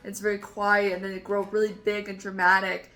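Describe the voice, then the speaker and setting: A woman's voice: medium pitch, flat delivery, fairly quick, sharp tone, faint music behind. A woman vlogger and composer chatting enthusiastically, rambling with lots of "like" and "um". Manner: enthusiastic, rambling with many fillers. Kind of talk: casual chat.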